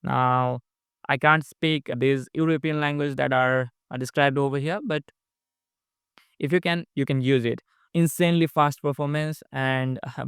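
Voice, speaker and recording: A young man from Nepal, a developer casually walking through a software update in a small room. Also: medium pitch, creaky, clear, resonant tone, no room sound, studio quality. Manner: plain, matter-of-fact explaining. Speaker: app developer. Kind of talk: casual screen-recorded product update.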